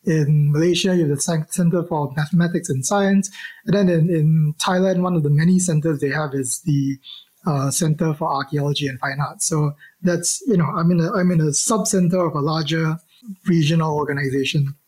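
Husky man, halting speech. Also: on the high side, steady tone, a little mellow. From Singapore. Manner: halting, many restarts and fillers. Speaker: archaeologist guest. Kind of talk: interview answers.

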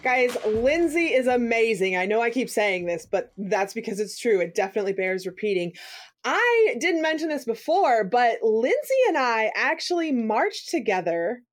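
A woman hosting a podcast, telling a story upbeat and animated in casual chat. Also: on the high side, moderate pace. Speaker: podcast host.

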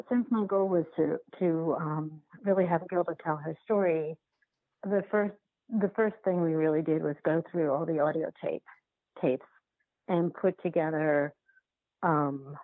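A woman filmmaker answering interview questions in a halting, reflective way, often circling back to rephrase, over a phone-like line. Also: low-pitched, no echo. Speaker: documentary filmmaker being interviewed.

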